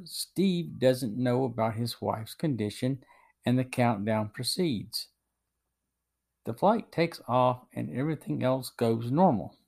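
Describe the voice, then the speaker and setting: A man's voice: mid-range voice, creaky, a little mellow. A man hosting a podcast, reading a scripted review in a narrating style. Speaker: podcast host.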